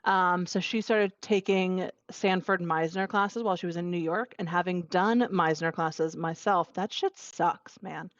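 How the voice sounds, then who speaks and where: A woman's voice: on the low side, steady tone, a little slow, hardly any pauses, no room sound. A young woman from Virginia, co-hosting a podcast in casual chat, talking in a chatty, enthusiastic way with many fillers. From Virginia, United States. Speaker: podcast co-host. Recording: dull lo-fi sound.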